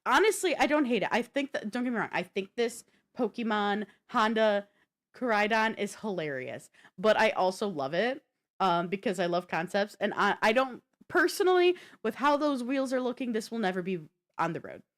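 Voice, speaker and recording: A woman's voice: medium pitch, lively intonation, normal speed. A woman co-hosting a casual tech and games chat, speaking informally and thinking aloud with some slang. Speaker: podcast co-host.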